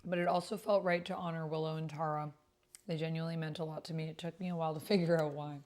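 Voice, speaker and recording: A woman streamer chatting casually and reading typed roleplay aloud, sometimes halting as she types along. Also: deep voice, little pitch movement, a little mellow. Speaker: streamer playing an online roleplaying game.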